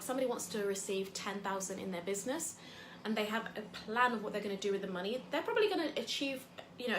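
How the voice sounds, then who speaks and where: A woman's voice: medium pitch, a bit bright, echoey room, slight background noise, poor audio. A woman from England hosting a casual motivational talk. She talks in a chatty, rambling way with lots of fillers. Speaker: host.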